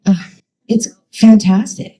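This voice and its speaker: A woman's voice: medium pitch, fairly even intonation, slow, warm tone. A woman leading youth ministry, giving a chatty, enthusiastic talk to a church with many fillers.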